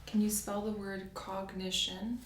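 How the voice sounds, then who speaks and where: A soft-spoken woman doing an ASMR medical roleplay as a doctor, giving gentle, polite step-by-step instructions. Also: mid-range voice, little pitch movement, unhurried, distant mic. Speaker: ASMR performer playing a doctor. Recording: roomy sound, off-mic, faint hiss.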